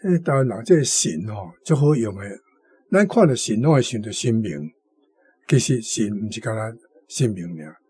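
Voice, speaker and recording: A man's voice: medium pitch, lively intonation, moderate pace, mellow, slightly rough, no echo. A man with a Taiwanese accent explaining words in a didactic way as a radio guest, repeating key terms. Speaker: guest commentator on a radio programme. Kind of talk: explanatory talk on words and meanings.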